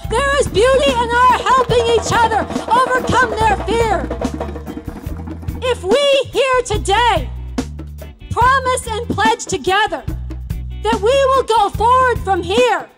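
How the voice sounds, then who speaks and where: A woman's voice: very high-pitched, slow pace. A woman giving a rousing, declamatory speech built on repeated refrains. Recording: music under the speech. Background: music.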